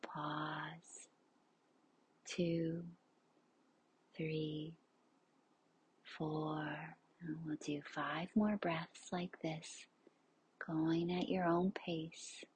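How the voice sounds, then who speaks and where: Female meditation guide, lowish pitch, extremely slow delivery, hushed and airy, soothing, with spacious pauses. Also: little pitch movement, a little hiss or hum, low-quality recording. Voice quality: breathy, hushed, often near a whisper. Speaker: yoga nidra instructor.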